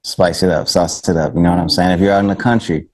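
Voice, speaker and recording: A man's voice: low-pitched, steady tone, fast talker. A man giving casual advice as a panel guest, using laid-back slang and frequent conversational tags. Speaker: panel guest, recording artist.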